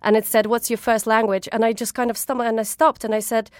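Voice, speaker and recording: A woman's voice: on the high side, flat delivery, fast, no echo, clean studio sound. A woman from Ukraine whose first language is Russian, a chef and food writer answering interview questions earnestly and with feeling, often restarting her sentences.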